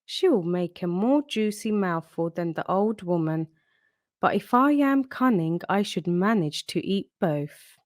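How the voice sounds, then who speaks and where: A woman's voice: mid-range voice, lively intonation, unhurried, darkish tone, dry recording, clean studio sound, natural voice. A woman voicing a cunning, wicked male wolf from a storybook, politely coaxing and sly. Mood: scheming. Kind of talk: storybook read-aloud character.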